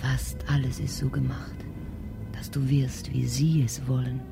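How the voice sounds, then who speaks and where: An actress playing an ominous radio drama narrator, speaking in terse, clipped, often whispered fragments. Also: female voice, a bass voice, flat delivery, unhurried, vocal fry, music in the background, exaggerated voice. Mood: hushed, insistent. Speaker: narrator and echoing voice.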